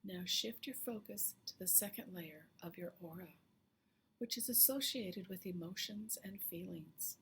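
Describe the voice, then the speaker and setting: Female meditation guide: slightly low register, gentle half-whispered phrase endings, unhurried and calming, faint ambient noise underneath. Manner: soft, hushed, often breathy phrase endings; slow, soothing, with long pauses.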